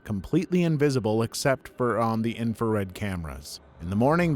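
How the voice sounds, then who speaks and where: A man reading online stories aloud as a narrator, at a steady, even pace. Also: medium pitch. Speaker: story narrator.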